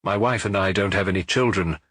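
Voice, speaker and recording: A man voicing a broken middle-aged man who confesses in a halting, pleading way in a detective audiobook. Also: sits low, a bit bright, no room sound, studio quality. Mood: anguished.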